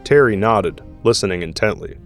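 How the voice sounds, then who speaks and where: Low-pitched male audio drama narrator. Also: lively intonation, normal speed, faint music behind.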